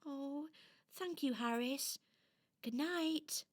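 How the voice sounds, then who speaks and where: A woman's voice: high-pitched, extremely slow, pitched above the performer's own voice. A woman voicing a small, brave toy doll girl, eager, kind and earnest, in a children's radio play. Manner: earnest, exclaiming, hesitant.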